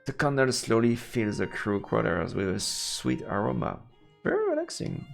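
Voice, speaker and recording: A man's voice: lowish voice, wildly swooping pitch, moderate pace, creaky, soft background music. A man live-streaming a game, reading its narration aloud and adding murmured, playful asides. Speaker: let's play streamer.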